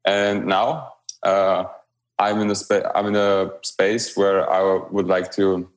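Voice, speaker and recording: A young man, a guest in a remote interview, speaking in a relaxed, hesitant way with fillers and restarts. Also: low voice, slow. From Romania. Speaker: guest, blockchain company growth lead.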